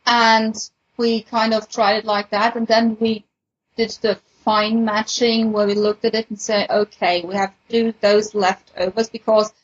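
A woman's voice: medium pitch, level tone, unhurried, husky, dull audio. A woman explaining things casually as a moderator on a podcast panel.

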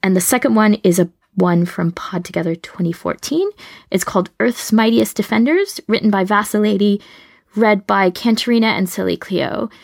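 A woman's voice: medium pitch, moderate pace, creaky, no room sound, clean studio sound. A woman co-hosting a podcast, explaining her recommendations in a casual, conversational way. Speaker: podcast co-host.

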